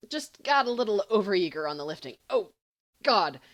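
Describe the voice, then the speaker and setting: A man's voice: high voice, big pitch swings, moderate pace, a bit bright. A woman voicing a teenage boy who is syrupy, gallant and dramatic with his sweetheart in an audiobook story. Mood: gallant, strained. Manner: simpering, dramatic. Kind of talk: audiobook character voice.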